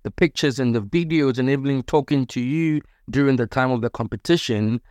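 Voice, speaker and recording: A man's voice: medium pitch, fairly quick, a little mellow, no echo. Upbeat male podcast host, dry sound. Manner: upbeat, formal introductions. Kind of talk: podcast interview hosting.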